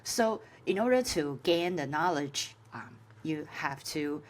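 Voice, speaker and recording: A woman with a Chinese accent, a native Chinese speaker, giving an informal tutorial as a course instructor, with halting phrasing full of filler sounds. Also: low voice, expressive. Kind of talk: informal screen-share tutorial.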